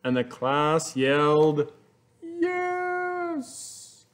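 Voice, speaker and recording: Very animated, slow-paced male storybook reader. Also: on the high side, slight background noise. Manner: theatrical, with drawn-out words and playful sound effects. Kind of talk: animated children's picture-book read-aloud.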